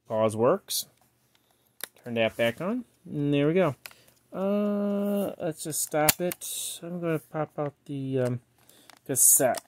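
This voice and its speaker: A man giving a casual, unscripted product walkthrough in a halting, rambling way, often trailing off, recorded indoors on a handheld phone. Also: fairly high pitch, expressive, a little hiss or hum. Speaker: collector showing a yard-sale cassette player. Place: indoors, close over a tabletop. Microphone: handheld phone he is filming with.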